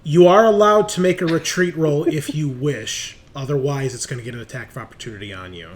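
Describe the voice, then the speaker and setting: A man's voice: fairly high pitch, fairly quick. A man acting as game master in an online tabletop game, switching between explaining rules and narrating the action.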